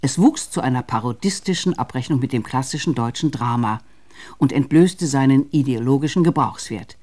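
Mellow male documentary narrator. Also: medium pitch, animated. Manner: measured, formal delivery. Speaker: narrator of a radio feature.

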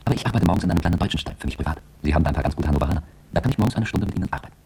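A man's voice: very deep voice, lively intonation, racing, vocal fry, mellow, breathy edge, heavily acted. An actor playing a coolly polite, determined Chinese army horseman, giving precise, courteous instruction in a radio drama with background noise. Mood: matter-of-fact.

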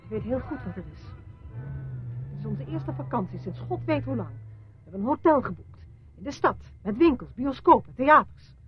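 A woman acting in a radio drama as a reporter's wife, with a breathy, husky voice. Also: fairly high pitch, sing-song, moderate pace, faint music behind. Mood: exasperated, accusing. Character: journalist's wife, shaken witness and exasperated holidaymaker. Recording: dull, muffled radio play recording.

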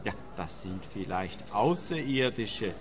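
Whiny paranoid male complainant, swooping indignant radio play delivery, halting, long reverb. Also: lowish voice, lively intonation. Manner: indignant, halting, repeating words. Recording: long reverb of a large hall.

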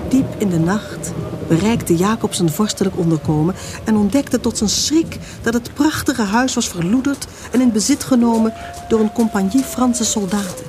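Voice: mid-range voice, moderate pace, noisy background. A narrator telling a scripted story in a radio drama.

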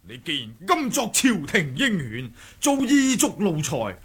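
An actor playing a brash, mocking kung fu fighter in a Cantonese radio drama, husky and theatrical. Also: male voice, sits high, huge pitch swings, measured pace, fairly bright tone, full character voice. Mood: scornful. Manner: theatrical, taunting, with cackling flourishes. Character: brash mocking martial-arts fighter of the Ming sect.

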